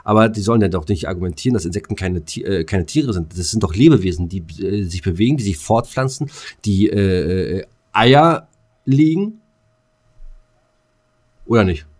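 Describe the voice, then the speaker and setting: A man's voice: lowish voice, expressive, normal speed, darkish tone. A man co-hosting a casual German podcast chat, speaking in a blunt, opinionated, colloquial manner. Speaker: podcast co-host.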